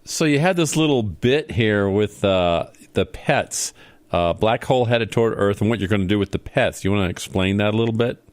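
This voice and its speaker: A man's voice: on the low side, normal speed, dry recording. A man hosting a playful comedy radio show from a studio, interviewing a guest in a mock-serious way with leading questions.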